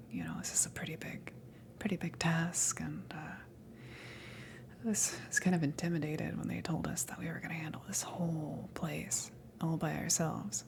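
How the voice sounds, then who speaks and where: A low, slightly creaky whisper belongs to this friendly alien salvage crew member, acted by a woman. The delivery is unhurried and hesitant, an easygoing, awkward ramble in an intimate ASMR roleplay style, soft and breathy throughout. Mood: casual, hesitant. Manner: hesitant, halting, casual rambling.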